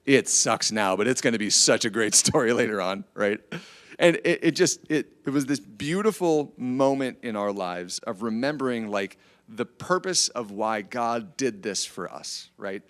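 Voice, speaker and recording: A middle-aged man with an American accent speaks to a group in an informal, motivational way. His voice sits at an average pitch. He tells stories conversationally and keeps inviting agreement with short tag questions. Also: expressive, normal speed, fairly bright tone. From Iowa, United States. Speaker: speaker at a church family event. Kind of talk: informal motivational talk with storytelling. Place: church, speaking to a gathered group.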